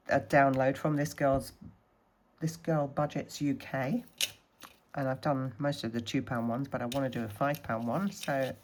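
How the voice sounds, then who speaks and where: A woman from the Scottish Highlands, a little snuffly from a cold, chatting casually through her budgeting challenges and counting money aloud. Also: darkish tone, slight background noise. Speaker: budgeting vlogger.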